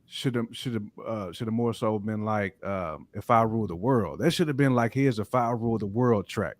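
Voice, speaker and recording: A man's voice: lowish voice, normal speed, no echo, studio quality. A man co-hosting a podcast, chatting casually about hip-hop, opinionated and slangy, repeating phrases for emphasis. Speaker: podcast co-host.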